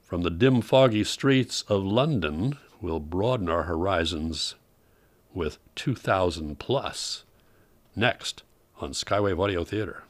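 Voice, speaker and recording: A man speaks in a fairly low voice, very dry and close. He narrates as the host of a radio program, in a relaxed scripted style. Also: slow, dry recording.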